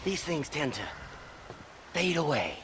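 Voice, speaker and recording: An actor voicing an unhinged, giddy Western eccentric with a rural American drawl in a video game. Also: male voice, a little high, sing-song, measured pace, noisy background. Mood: manic, scornful. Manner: theatrical, drawling, wildly swooping delivery. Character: unhinged, scrawny male grave-robbing eccentric, giddy and erratic. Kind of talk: video game cutscene acting.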